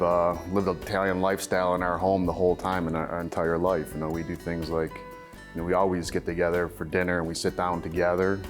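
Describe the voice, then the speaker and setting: Male interviewee, very deep, relaxed and conversational, soft guitar bed. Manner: easygoing, with many "you know"s. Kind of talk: interview clip for a tribute video.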